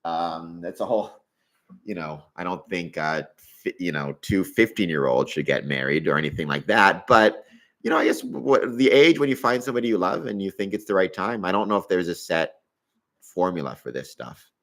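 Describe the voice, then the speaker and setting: Very deep-voiced man in his forties. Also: sing-song, normal speed. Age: 40s. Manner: conversational, thinking aloud with hedging asides. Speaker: live stream host. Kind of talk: casual live Q&A chat.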